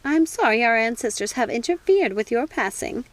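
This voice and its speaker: A tender, reassuring witch mother from a storybook, played by a woman reader. Her voice is high and motherly, with a soothing, protective way of speaking. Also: expressive, moderate pace, natural voice. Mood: gentle.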